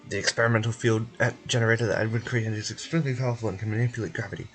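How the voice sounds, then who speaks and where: A man voicing a guarded, commanding researcher in a game, explaining things in a measured, formal way. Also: fairly low pitch, fairly even intonation, fairly bright tone. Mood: calm, explanatory.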